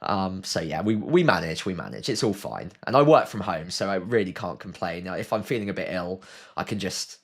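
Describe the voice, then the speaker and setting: A man's voice: sits low, lively intonation, a little fast. A British man hosting a casual live stream, chatting and thinking aloud as he answers viewers, slightly sniffly with a cold.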